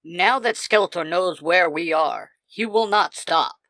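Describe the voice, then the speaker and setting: A woman voicing a grave, weary older male warrior mentor in a fan fiction story, speaking in an earnest, measured way. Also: fairly low pitch, a bit bright, dry recording, voice pushed up. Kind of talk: dramatised fan fiction dialogue.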